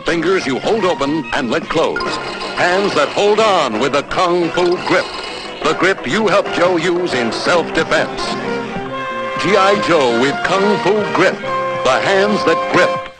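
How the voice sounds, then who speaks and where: Man, vintage toy commercial voice-over, fairly high voice, measured pace, loud music. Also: expressive, poor audio. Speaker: commercial announcer.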